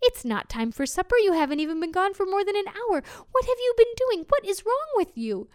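A woman voicing a sharp-tongued, exasperated peasant wife who scolds her husband shrilly in a storybook. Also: high voice, lively intonation, measured pace, no room sound, clean studio sound. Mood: scolding.

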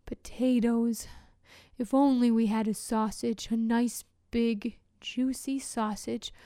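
A woman voicing a poor, hungry woodcutter who talks in a slow, bewildered way in a storybook reading. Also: on the high side, fairly even intonation, dark tone, dry recording, studio quality. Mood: dazed.

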